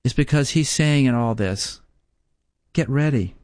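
A man in his fifties giving a sermon as a Bible teacher, speaking slowly and emphatically with repeated rhetorical questions. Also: medium pitch, a little mellow, dry recording, clean studio sound. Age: 50s.

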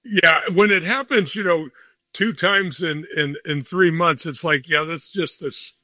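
Bright-voiced host, friendly and rambling. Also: male voice, on the high side, measured pace, no room sound, clean studio sound. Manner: friendly, rambling questions with restarts. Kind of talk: casual interview chat.

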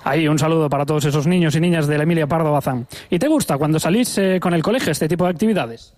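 A man's voice: on the high side, quick pace, darkish tone. A man reporting live for radio an indoor event, chatty and upbeat as he interviews people. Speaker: field reporter. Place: indoor room with children.

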